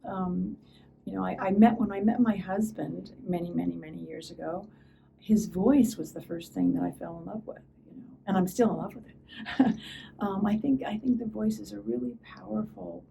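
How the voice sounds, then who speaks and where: A woman's voice: mid-range voice, fairly even intonation, normal speed, creaky, mellow. An older woman, an actor, speaking reflectively in an interview. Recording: distant mic, faint background noise.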